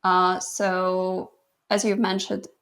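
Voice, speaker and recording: Slow-talking young woman with gravelly fry. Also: on the low side, completely flat delivery, a bit bright. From Latvia. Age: 20s. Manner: modest, laughs often, unhurried storytelling. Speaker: guest photographer. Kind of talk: casual interview chat.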